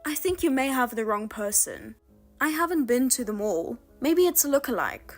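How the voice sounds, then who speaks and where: A woman plays a bitter older sister in a voiced drama, cold and firm, keeping her voice flat and restrained. Her voice sits fairly high, with soft music underneath. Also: moderate pace. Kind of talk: narrated drama voice-over.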